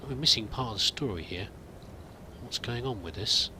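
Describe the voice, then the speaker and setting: A man's voice: fairly low pitch, expressive, moderate pace, noisy background. A man giving in-character let's play commentary, musing aloud and muttering to himself as he goes. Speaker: host of a roleplay let's play.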